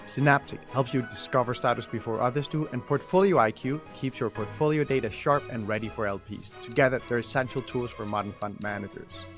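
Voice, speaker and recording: A young man with a Danish accent hosting a podcast, chatting casually and reading sponsor spots in an upbeat, jokey way. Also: medium pitch. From Denmark. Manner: upbeat, jokey, fast-talking. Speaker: podcast host.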